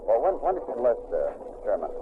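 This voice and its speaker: A man's voice: medium pitch, wildly swooping pitch, quick pace. Man interviewing on a 1940s radio broadcast. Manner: chatty, prompting questions, light teasing. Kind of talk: wartime field radio interview. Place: aboard a navy landing ship. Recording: tinny lo-fi 1940s radio recording with hiss.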